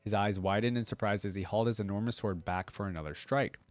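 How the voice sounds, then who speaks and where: A man's voice: fairly low pitch, fast talker, soft background music. A man hosting a reaction video, reading a story aloud and commenting in a casual, slangy way.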